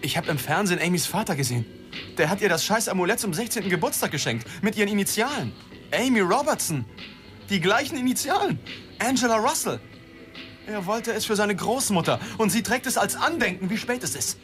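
An actor playing a drunken, desperate, cynical reporter in a German radio drama, rambling and blunt. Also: male voice, high voice, very animated, fairly quick. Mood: agitated. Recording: studio radio play with loud music behind.

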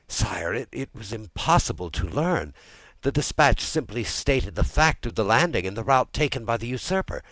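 A man's voice: mid-range voice, lively intonation, moderate pace, fairly bright tone, no room sound, pitched above the performer's own voice, poor audio. Man acting a fearful, pleading, stammering minister. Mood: apologetic. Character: fearful, despairing police minister, humbly addressing his king. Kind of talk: audiobook character dialogue.

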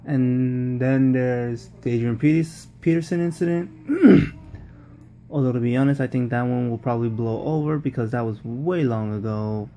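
A man's voice: mid-range voice, moderate pace, warm tone, slight background noise. A man giving casual, rambling post-game football commentary, often repeating himself and restarting sentences. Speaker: football vlogger.

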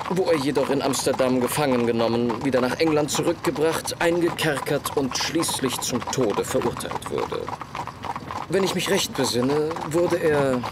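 An actor playing an erudite, condescending Victorian detective in a radio play, lecturing in a pedantic, superior way. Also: male voice, mid-range voice, background noise, lightly acted. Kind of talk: German radio play dialogue.

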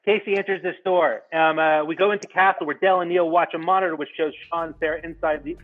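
A man's voice: a little high, steady tone, fast. A man reading script directions aloud at a live table read, informal, with asides. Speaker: stage-direction reader. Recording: dull, lo-fi sound, faint music behind.

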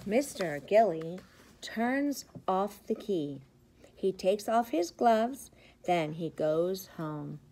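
A middle-aged woman, a preschool teacher, reads a picture book aloud to young children with expressive, rhythmic, sing-song phrasing. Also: mid-range voice, slow pace, a little hiss or hum. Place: indoors, school classroom. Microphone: device camera at about arm's length.

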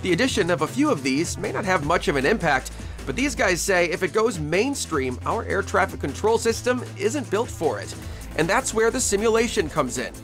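A male TV reporter in his thirties delivers a scripted news package. His pitch is high for a man and swings in a lively way, with music faintly behind him. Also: normal speed.

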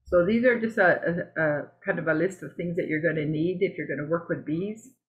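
A woman from Canada giving a slide presentation on beekeeping over a video call, talking in a halting, conversational way with many fillers and restarts. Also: on the low side. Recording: narrow tinny video-call audio.

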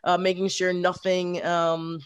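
A young woman chatting on a video call with a headset, telling her story in an energetic, rambling way, full of "like". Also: fairly low pitch, a little slow, clean tone, no echo. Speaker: supporters group organizer. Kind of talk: casual video call chat.

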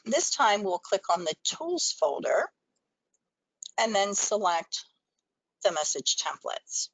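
A woman's voice: medium pitch, very animated, slow pace, no echo, low-quality recording. A woman presenting a training webinar, explaining things step by step in an instructional screen-share style.

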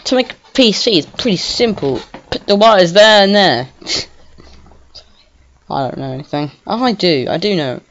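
A woman giving casual, rambling gameplay commentary on a home computer mic, excitable and prone to swearing. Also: medium pitch, moderate pace, slight background noise. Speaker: gaming video creator. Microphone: home computer microphone. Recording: slightly dull lo-fi mic.

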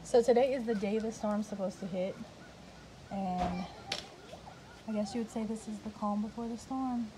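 A woman's voice: medium pitch, normal speed, long pauses. A woman, chatting casually to a phone camera as she films around her rural homestead. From Louisiana. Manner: chatty, easygoing, talks to her kids and pets. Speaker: homesteader vlogger. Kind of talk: casual vlog narration. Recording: noisy outdoor phone audio.

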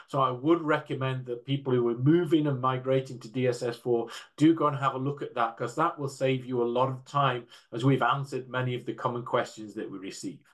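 Older man presenting in an online panel. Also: mid-range voice. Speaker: panel speaker from a standards council. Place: indoors, on a video call.

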